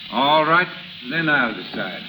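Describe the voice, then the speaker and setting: A middle-aged man acting in a 1930s radio drama as a proud, wounded husband with formal, halting speech. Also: on the high side, animated. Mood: hurt, tense. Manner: formal, halting under emotion. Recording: noisy, sizzling 1930s radio recording.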